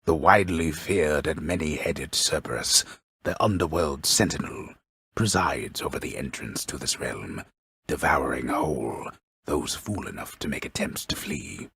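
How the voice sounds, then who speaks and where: A male actor playing a grave, pompous storyteller in a video game, narrating a mythic epic in an ornate, deliberate, dryly wry manner with a gravelly voice. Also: extremely low pitch, animated, fairly bright tone, dry recording, full character voice. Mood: solemn, portentous. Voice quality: gravelly, husky. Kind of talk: theatrical scripted narration.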